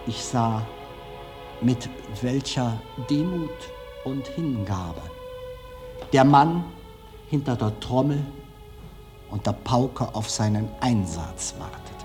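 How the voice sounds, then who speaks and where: A man speaking in a reflective personal monologue, deliberate and emphatic, with long pauses. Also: medium pitch. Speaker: film director.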